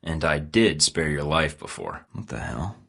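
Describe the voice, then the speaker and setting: A man's voice: extremely low pitch, expressive, measured pace, vocal fry, fairly bright tone, slightly rough, no echo, exaggerated voice. An actor playing a cocky young male secret agent who trades dry, sarcastic quips, recorded close in a studio booth for a video game. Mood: wry. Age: young adult. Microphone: close studio mic.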